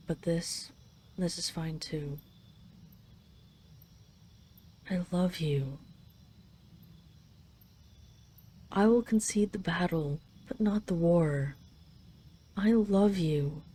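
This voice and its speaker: A haughty female dragon queen, played by a woman in an intimate audio roleplay. Her voice sits fairly low, with a very mellow, relaxed tone. She speaks imperiously and teasingly to her captive, possessive yet fond. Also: slight background noise, close to an everyday voice. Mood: tender, stubborn. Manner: imperious, teasing, intimate, slightly flustered when admitting affection. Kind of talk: roleplay audio drama addressed to the listener.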